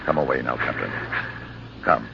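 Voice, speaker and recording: A man acting a loyal, sorrowful friend with a solemn, measured delivery in an old-time radio drama. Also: extremely low pitch, creaky, exaggerated voice. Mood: urgent, gentle. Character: sorrowful loyal friend of a captain, recounting tragedy. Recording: muffled, noisy old radio recording.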